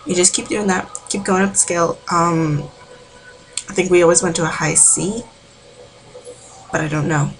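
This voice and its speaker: A woman giving a casual, rambling vocal warm-up tutorial from home, full of filler words. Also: on the low side, moderate pace, long pauses, low-quality recording. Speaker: amateur singing tutor.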